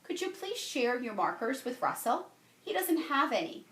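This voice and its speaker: A woman's voice: high voice, sing-song, normal speed, fairly bright tone. Woman playing polite primary teacher, lilting, echoey. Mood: polite request. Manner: clear, polite instructing. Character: kindly, orderly female primary school teacher. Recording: roomy, echoey home-style recording.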